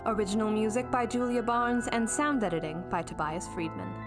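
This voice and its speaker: A woman's voice: a little high, brisk. A woman announcer reading scripted podcast credits in a narrating style. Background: music.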